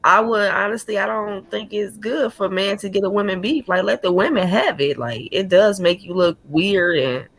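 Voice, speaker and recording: A woman's voice: mid-range voice, moderate pace, few pauses, fairly bright tone, slightly rough, no room sound. A woman hosting a casual group chat, asking questions and agreeing easily. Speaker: host.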